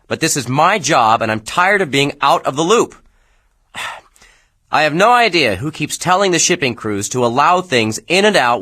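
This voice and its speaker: An actor playing a self-important, aggrieved shipping bureaucrat, dictating an officious, indignant memo for a video game. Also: male voice, mid-range voice, pushed and bright.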